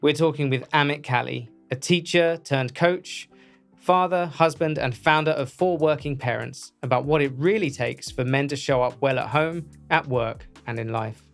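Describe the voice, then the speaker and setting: Guy hosting a podcast, mid pitch, earnest and measured, faint music underneath. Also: creaky. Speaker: podcast host. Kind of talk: scripted podcast intro, then interview.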